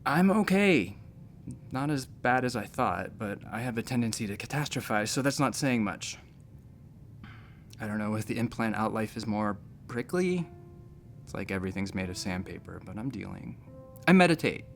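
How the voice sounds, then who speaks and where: A man's voice: on the low side, animated, normal speed, vocal fry, faint music behind. Wry, rambling male space doctor. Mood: rambling, candid. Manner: wry, chatty, defensive. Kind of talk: sci-fi audio drama acting.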